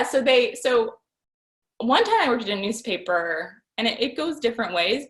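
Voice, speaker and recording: A woman's voice: medium pitch, fairly bright tone, reverberant. A young woman, a magazine writer, answering questions in a chatty, informal, self-deprecating way. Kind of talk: casual question and answer chat.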